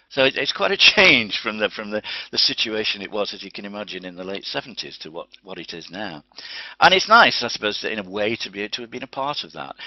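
A man's voice: on the low side, pushed and bright, no echo. Older Welsh man giving a webinar talk. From Wales. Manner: chatty, anecdotal, with frequent restarts. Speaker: guest speaker. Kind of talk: webinar presentation. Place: home study, indoors. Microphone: webcam on computer. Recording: dull lo-fi webinar audio.

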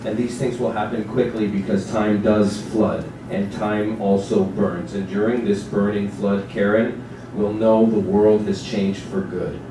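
A man narrating a literary text in a staged dramatic reading, with a measured, deliberate delivery. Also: on the low side, flat delivery. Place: small theatre stage with seated audience. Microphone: distant camera microphone. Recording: echoey stage recording from far off, noisy.

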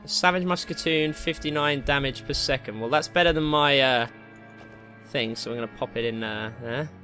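A man giving casual, excitable commentary while playing a video game, jokey and slangy, with exclamations and swearing. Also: medium pitch, normal speed. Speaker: gaming video host. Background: game music.

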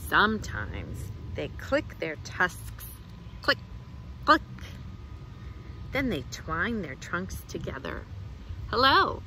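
A middle-aged woman reads a picture book aloud to children outdoors, with expressive, deliberate phrasing. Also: fairly low pitch, a little hiss or hum, rough recording. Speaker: children's librarian, storytime reader. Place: outdoors in a leafy garden.

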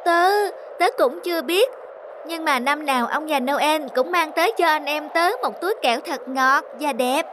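A woman's voice: high voice, normal speed, background noise, lightly acted. A woman voicing a sweet, modest young boy in a cartoon drama, with an earnest, childlike delivery. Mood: shy, hopeful.

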